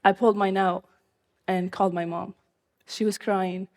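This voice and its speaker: Young syrian-born woman, earnest and measured, telling her story on stage, mid pitch. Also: level tone, a little mellow. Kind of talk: live personal storytelling.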